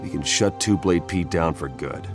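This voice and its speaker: An actor playing a steady, commanding guard captain in a video game, firm but fair. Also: male voice, deep voice, normal speed, close to an everyday voice. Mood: composed, encouraging. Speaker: military captain. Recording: music behind the voice.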